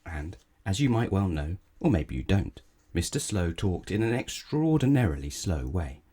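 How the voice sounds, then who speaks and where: A man with a low voice reads a children's storybook aloud. His delivery is measured and expressive, with animated swings in pitch and a mellow tone. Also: slightly rough. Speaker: storybook reader. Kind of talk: children's read-aloud narration.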